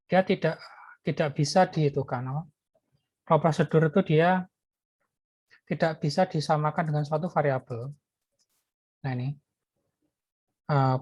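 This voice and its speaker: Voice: mid-range voice, darkish tone. A lecturer walks through code as he types it, thinking aloud and often stopping to restart a phrase. The sound is dull and close, with no room echo, as from a computer mic. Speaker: programming lecturer. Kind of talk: live coding lecture.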